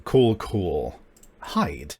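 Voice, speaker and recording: A man's voice: deep voice, big pitch swings, very slow, dark tone, husky. A man hosting and narrating a tabletop game as game master, chatty and casual, often hesitating and rephrasing. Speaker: game master and show host.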